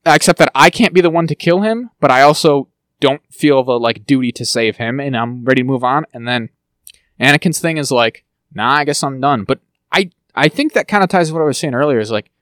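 Fast animated male podcast host. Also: medium pitch, dry recording, studio quality. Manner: fast, animated, breaks into quick mock impressions. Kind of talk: casual chat about TV shows.

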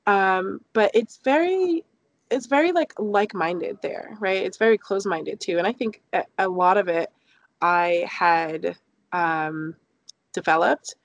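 A woman in her thirties from London, telling personal stories casually and jokingly as an interview guest, with animated emphasis. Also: mid-range voice, measured pace, no room sound.

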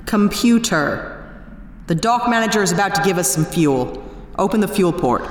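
A woman's voice: mid-range voice, animated, normal speed, slight background noise, close to an everyday voice. A woman playing a no-nonsense spaceship captain in a sci-fi audio drama, dry, bossy and sarcastic. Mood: stern. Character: brisk, no-nonsense female spaceship captain, sardonic and exasperated.

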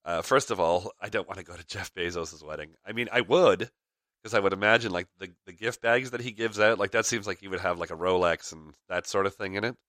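A man with a low, forceful voice carrying a slight rasp. He rambles casually through his chat, full of "uh"s and small chuckles. The sound is close and dry. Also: a little fast, dry recording. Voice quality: raspy. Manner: rambling, frequent fillers, chuckles. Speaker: podcast host.

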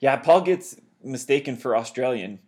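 A man's voice: medium pitch, moderate pace. Joking man in his forties, casual chat. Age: 40s. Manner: joking, playful flattery. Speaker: podcast co-host.

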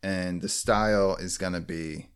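Low-voiced man joking wryly. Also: steady tone, a little slow, clear, resonant tone, no room sound, clean studio sound. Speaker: podcast co-host. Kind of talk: casual comedy podcast chat.

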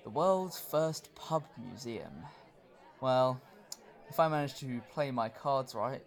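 A man's voice: medium pitch, slow, pitched below the performer's own voice. A man voicing a smug, menacing male rival in an audio drama.

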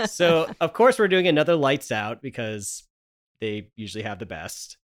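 A man's voice: medium pitch, big pitch swings, normal speed, fairly bright tone. A man hosting a podcast, bantering casually as he introduces a table read. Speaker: podcast host.

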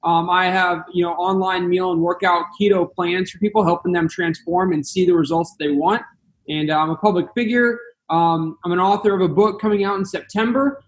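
A man's voice: high voice, fairly even intonation, a little fast, rough recording. A man in his twenties, a podcast guest who speaks earnestly and often repeats a word as he starts a sentence. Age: 20s. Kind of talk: interview answers.

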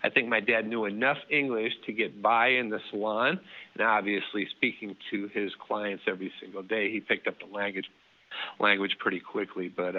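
A middle-aged man telling a family story as an interview guest, relaxed and full of fillers and restarts. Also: lowish voice, narrow, tinny audio.

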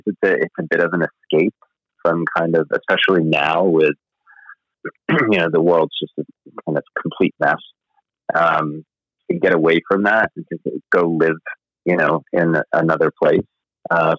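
A man with a very low voice talks about his writing as a guest in a casual interview. He rambles and hesitates and is self-deprecating. The sound is dry and a little muffled. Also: normal speed, dry recording. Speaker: novelist guest.

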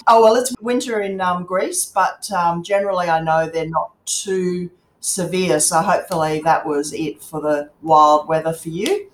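A woman's voice: fairly low pitch, steady tone, moderate pace. A woman hosting a casual interview, encouraging and attentive with her guest. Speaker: interviewer, host. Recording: echoey, roomy sound.

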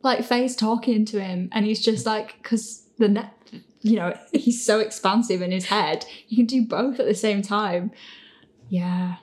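A woman's voice: mid-range voice, unhurried, a little mellow. A woman hosting a casual, chatty question and answer session about her audio fiction, in a giggly, self-deprecating way. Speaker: host and audio fiction creator. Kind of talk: casual Q&A chat.

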